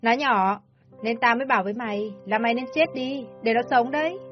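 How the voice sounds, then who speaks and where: A woman's voice: on the high side, fairly quick, close to an everyday voice. A woman voicing a cruel, jealous woman kidnapper who speaks harshly and with contempt. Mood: menacing. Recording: dull lo-fi audio with soft music.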